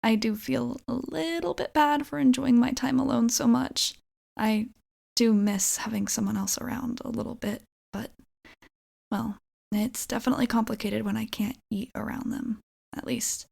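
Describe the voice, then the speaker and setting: A woman playing an awkward, nervous young vampire roommate in an ASMR roleplay, halting and rambling apologetically. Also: on the high side, creaky, a little mellow. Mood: musing, relaxed.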